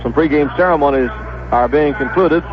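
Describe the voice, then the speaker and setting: An American man calling baseball on 1970s radio in a measured announcing manner, repeating names for clarity. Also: fairly high pitch. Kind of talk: 1970s radio sports commentary, pregame lineups. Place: stadium broadcast booth. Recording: dull lo-fi vintage radio broadcast.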